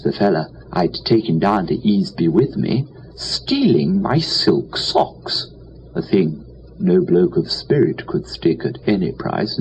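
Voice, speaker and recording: A man acts out a foppish young English gentleman spinning a comic tale. He is cheerful and none too bright, full of chatty upper-class slang, with very lively swooping pitch. The recording is a little dull, with faint noise. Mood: chatty, airy. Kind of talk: dramatised first-person comic storytelling.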